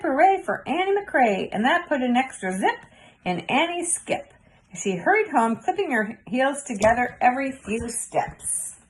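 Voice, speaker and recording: A woman's voice: fairly high pitch, rough recording. A woman reading a storybook aloud for children, with chatty asides to young listeners. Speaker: storybook reader. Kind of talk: read-aloud narration for children.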